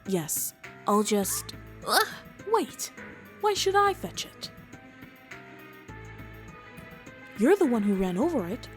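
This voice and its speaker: A woman's voice: on the high side, big pitch swings, measured pace. A woman playing a dry, level-headed doctor. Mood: exasperated. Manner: dry and exasperated. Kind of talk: amateur game fan dub. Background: faint music.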